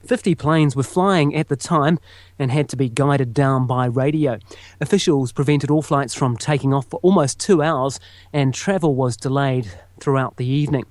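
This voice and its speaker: A man's voice: mid-range voice, moderate pace, darkish tone, no room sound. A man presenting radio news and putting questions as an interviewer.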